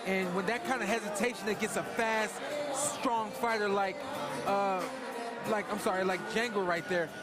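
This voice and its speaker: A man's voice: high-pitched, fairly even intonation, moderate pace, loud music behind the voice. Casual male fight analyst over crowd noise. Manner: casual, conversational analysis with fillers. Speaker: color commentator.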